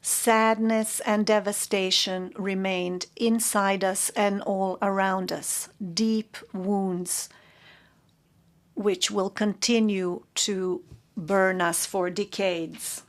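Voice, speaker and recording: Female court interpreter, middle pitch, very slow and halting, corrects herself. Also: fairly even intonation. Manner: measured, halting, with self-corrections. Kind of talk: interpreting a formal statement.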